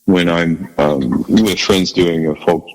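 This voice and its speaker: A man with a low bass voice, chatting as a guest in an interview, speaking haltingly and modestly with many restarts. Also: darkish tone, in a large hall, low-quality recording. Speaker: guest musician. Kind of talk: casual interview chat.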